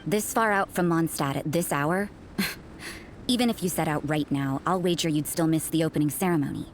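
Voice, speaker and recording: Woman acting a low-voiced, aloof, sardonic nun. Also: a little hiss or hum, close to an everyday voice. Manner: dry, dismissive, unhurried. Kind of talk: video game voice acting.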